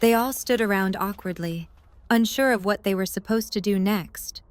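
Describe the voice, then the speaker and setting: Woman narrating an audio drama, mid-pitched voice, reading from a script. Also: moderate pace, a little hiss or hum.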